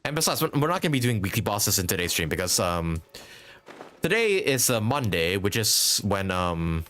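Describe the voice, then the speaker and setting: A man's voice: on the low side, very animated, normal speed, a bit bright, soft background music. A man giving casual, rambling live commentary as he streams a game, thinking aloud and chatting to viewers. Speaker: game livestreamer.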